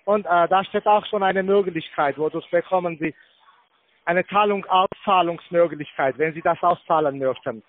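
A man working as a finance agent on the phone, speaking haltingly in broken, non-native German phrasing. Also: high voice. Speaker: finance department agent at a call centre. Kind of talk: phone sales talk. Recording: tinny narrow phone line.

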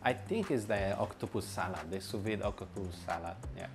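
Low-voiced chef pausing with many fillers. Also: male voice. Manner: hesitant, many fillers and restarts, non-native grammar. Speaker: restaurant owner and chef. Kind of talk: seated interview answers. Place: bright indoor room with light wall. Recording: music bed under voice. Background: background music.

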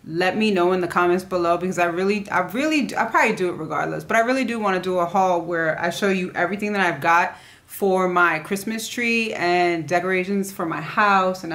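A woman's voice: medium pitch, a bit bright. A young adult woman chatting casually to camera as a vlogger, informal and rambling, often trailing off mid-thought. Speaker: vlogger showing a shopping haul. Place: living room at home, indoors.

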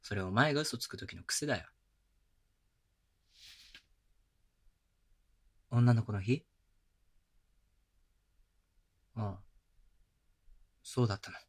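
Gentle, doting young boyfriend played by a man. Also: lowish voice, brisk, husky. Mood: concerned, coaxing. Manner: tender, coaxing, casual murmured chiding. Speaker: voice actor. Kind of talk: intimate boyfriend roleplay ASMR audio drama. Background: music.

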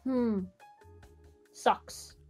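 A woman's voice: very slow, dark tone, faint music behind. A woman chatting casually during an online group game. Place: online voice call.

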